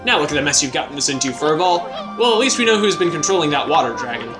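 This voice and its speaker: A cocky, rude little male artist fires off wisecracks and boasts, as a man puts him on. Game music plays loudly behind him. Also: a little high, sing-song, quick pace. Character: brash cocky tiny male wandering artist.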